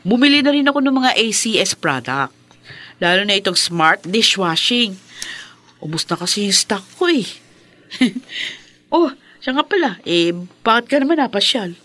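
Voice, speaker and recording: A woman's voice: medium pitch, big pitch swings, normal speed, pauses often, bright, forceful tone. An actress playing a friendly, helpful woman confidante, chatty and welcoming, in a Filipino radio soap opera.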